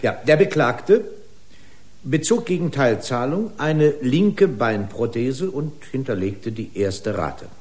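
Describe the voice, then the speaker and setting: An actor playing a pedantic, accusing corporate lawyer in a German radio drama, speaking in formal, pompous legal style. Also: male voice, medium pitch, big pitch swings, slow pace, darkish tone. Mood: pedantic, indignant.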